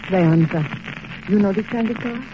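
A woman playing a seductive, melodramatic Mexican client in 1940s radio drama style, speaking Spanish-accented English with formal, deliberate phrasing. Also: medium pitch, close to an everyday voice. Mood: seductive, scornful. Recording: noisy, muffled old radio recording.

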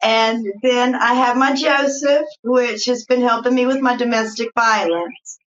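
A woman with a Southern drawl speaks slowly and unhurriedly, her voice sitting a touch high. She tells her story in a relaxed, chatty way, with plenty of "um"s along the way. The sound is a little dull and lo-fi. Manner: slow, warm storytelling with fillers. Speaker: guest. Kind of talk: casual interview.

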